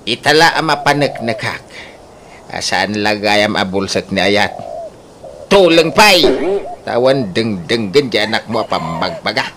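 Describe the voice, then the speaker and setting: A man's voice: fairly low pitch, very animated, unhurried. A man with an Ilocano Filipino accent playing a stubborn, hot-tempered, scolding father in a comic radio drama. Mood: angry scolding. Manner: blustering, exclamatory, scolding. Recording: noisy radio drama recording.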